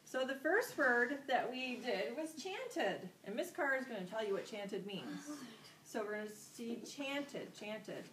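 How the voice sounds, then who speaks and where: A woman's voice: fairly high pitch, fairly bright tone. A woman working as an elementary school teacher leads an interactive vocabulary lesson, repeating key words and prompting the children with questions. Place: classroom, recorded from a distance. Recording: roomy, distant microphone with faint background noise.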